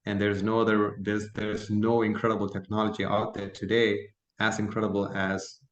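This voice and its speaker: A man from south India whose first language is Malayalam, joining a video call as a guest. His voice sits fairly low, and he talks in an earnest, reflective way with frequent fillers. The sound carries a noticeable roomy echo. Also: completely flat delivery, normal speed, highs cut off. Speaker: guest participant. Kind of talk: casual talk at an online meeting.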